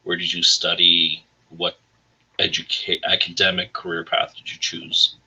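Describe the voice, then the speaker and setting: A man with a husky voice hosting a casual interview show over an online call. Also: sits low, little pitch movement, unhurried, sharp tone, highs cut off. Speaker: host of a weekly music career show.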